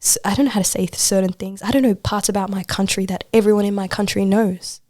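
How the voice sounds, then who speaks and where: A woman's voice: medium pitch, fairly even intonation, fast talker, darkish tone, no echo, studio quality. A woman chatting casually as an interview guest, informal and emphatic, repeating phrases for stress. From Australia. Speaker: podcast guest, poet and social worker.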